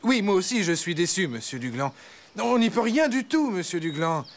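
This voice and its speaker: A man's voice: high-pitched, big pitch swings, normal speed. A man voicing a put-upon, exasperated workman dad in a French cartoon, flustered and a little weary. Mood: apologetic, deferential. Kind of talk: French animated cartoon acting. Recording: loud music behind the voice.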